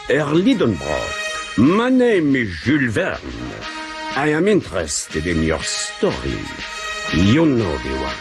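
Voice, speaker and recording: A man's voice with hugely swinging pitch, a courteous nineteenth-century French writer. He speaks slowly and with intrigue, theatrical radio drama style over music. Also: huge pitch swings. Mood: intrigued.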